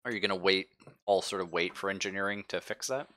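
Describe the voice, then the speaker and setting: A man acting as game master in a tabletop roleplaying game, describing things conversationally and haltingly as he thinks aloud. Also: low-pitched, fairly even intonation, sharp tone. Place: live-streamed game session.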